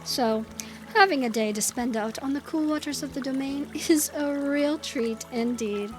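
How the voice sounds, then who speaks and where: Woman as young desert chieftain, high voice, proud, gracious, measured formal speech, fantasy audio drama, music behind. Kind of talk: audio drama character acting.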